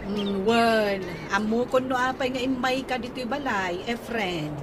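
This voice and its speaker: A woman's voice: on the high side, measured pace, noisy background, natural voice. A woman playing a protective, indignant, gossipy older sister in an Ilocano comedy radio drama, speaking emphatically.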